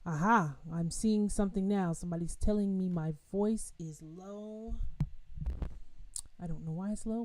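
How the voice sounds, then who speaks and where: A woman's voice: fairly low pitch, mellow. A woman hosting a live-streamed piano lesson, chatting casually and directly with viewers, with loose fillers and restarts. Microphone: home streaming mic with adjustable level.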